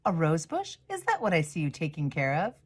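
A woman's voice: deep voice, wildly swooping pitch, brisk, breathy edge, full character voice. A storybook butcher, a well-meaning man, acted out by a woman reader. He sounds kindly and wishes the best while staying skeptical. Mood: curious, kindly. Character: doubtful but well-wishing male butcher.

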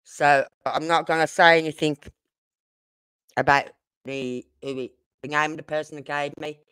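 A woman's voice: deep voice, steady tone, moderate pace, fairly bright tone, smooth, no echo. A woman with a British accent hosting a casual true-crime livestream, chatting in a rambling, informal way. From United Kingdom. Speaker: livestream host.

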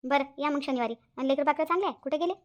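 A woman's voice: high-pitched, rapid-fire, lo-fi sound, lightly acted. A woman playing a chatty, aggrieved elder sister on the phone in a Marathi cartoon, with a Varhadi accent and a repetitive, gossipy way of talking. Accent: Varhadi Marathi from Vidarbha. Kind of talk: Marathi cartoon family-drama dialogue.

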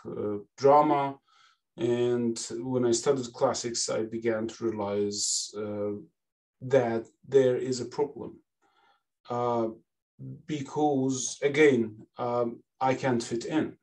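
A man talks slowly on a panel video call. He wears a headset and sounds a little distant from the mic. His sentences keep restarting, stitched together with "you know". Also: medium pitch, darkish tone. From Egypt. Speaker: panelist, classics lecturer. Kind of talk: unscripted panel talk. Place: home office with bookshelves, indoors.

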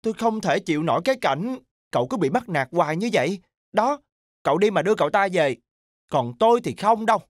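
A woman voicing a protective, expressive character in a cartoon dub. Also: lowish voice, brisk, no echo. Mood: indignant. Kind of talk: animated series dub voice acting.

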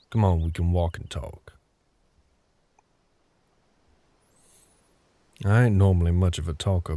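A very deep, creaky-voiced older cowboy acted by a man in an intimate ASMR roleplay. His tone is dark and mellow, with a terse drawl. He comes across as gruff and guarded, with care underneath. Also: heavily acted. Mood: gruff, impatient. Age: middle-aged. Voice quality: low, gravelly. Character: gruff older cowboy ranch hand, guarded but caring.

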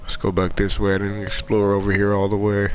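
A man with a nasal voice, stuffed up from a cold, gives running commentary while playing a game. His voice sits fairly low, and he swears freely and mocks the game's characters. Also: completely flat delivery, fairly quick, soft background music. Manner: profane, trash-talks the game characters. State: sick with a cold. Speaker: gaming streamer. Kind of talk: let's play commentary.

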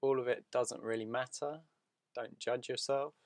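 A man leading a guided meditation in a soothing, unhurried manner, speaking in short phrases with long pauses. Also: mid-range voice, steady tone, no room sound, clean studio sound.

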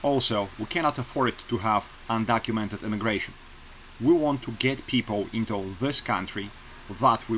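A man from Poland speaks English with a Polish accent, earnest and plainspoken, pressing his points again and again. His voice sits at an ordinary male pitch. It comes through a webcam in a small bedroom with a faint hiss under it. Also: fairly bright tone, rough recording. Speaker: vlogger. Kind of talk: casual opinion vlog to camera. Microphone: webcam close-up.

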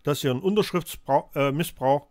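A man's voice: fairly high pitch, a little fast, darkish tone, no room sound. A man in his fifties with a cold, hosting a live call-in show from a home studio and lecturing in a rambling way with many fillers. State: has a cold, coughing.

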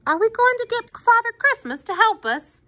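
A woman voicing anxious young children, earnest and pleading, in an expressive children's storybook reading. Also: very high voice, normal speed, pitched above the performer's own voice, exaggerated voice. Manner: plaintive, earnest.

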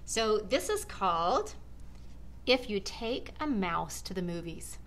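An American woman in middle age reads a picture book to children. Her medium-pitched voice moves up and down in a lively way as she slips chatty asides in between the lines. She is recorded in a living room with noticeable background noise. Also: slow. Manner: expressive, addressing young listeners directly. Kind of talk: read-aloud of a children's storybook with chatty asides. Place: large living room, indoors.

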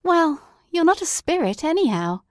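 A woman playing an excitable, devoted gentleman storyteller in a dramatic audiobook, with an expressive, earnest and formal delivery. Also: very high-pitched, moderate pace, no room sound, studio quality. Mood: astonished, overjoyed.

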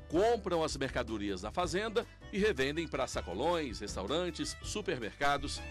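A Brazilian man TV reporter reading a scripted news feature in a lively, informative way. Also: on the high side. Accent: Brazilian Portuguese.

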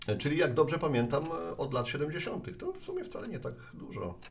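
A man playing a friendly, hurried mail carrier in a radio play, chatting in a conversational way. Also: fairly high pitch, wildly swooping pitch, gravelly, slight background noise, heavily acted. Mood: relaxed, curious. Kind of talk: Polish radio play dialogue.

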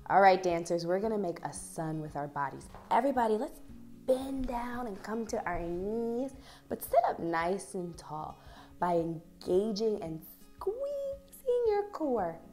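A woman in her thirties, a children's dance teacher reading and instructing young children in an expressive, deliberate, encouraging way. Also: mid-range voice, soft background music. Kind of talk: storybook read-aloud and instruction for young children.

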